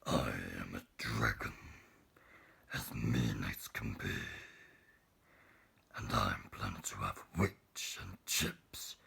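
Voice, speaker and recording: A man voicing a big, mean, greedy storybook dragon in a deep, gravelly growl. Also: slow, pauses often, sharp tone, exaggerated voice. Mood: menacing.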